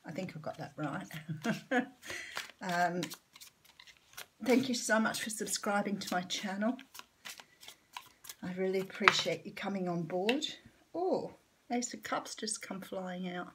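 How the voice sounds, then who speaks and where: A woman with an Australian accent giving a chatty tarot reading, her voice a little croaky this morning, with lots of ums. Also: mid-range voice, animated, slow, long pauses, background noise. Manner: chatty, warm-hearted, rambling with many ums. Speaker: tarot reader. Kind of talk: casual tarot reading vlog.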